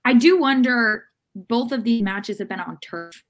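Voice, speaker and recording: A woman's voice: fairly high pitch, animated, a little slow. A woman in her thirties co-hosting a casual soccer discussion over a video call from home. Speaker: soccer podcast co-host. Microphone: video call microphone.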